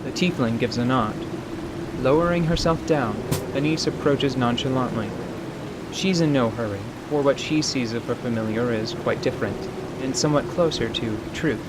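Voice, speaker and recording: A man giving scripted story narration for an audio drama in a measured, articulate manner. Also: medium pitch, heavy background noise.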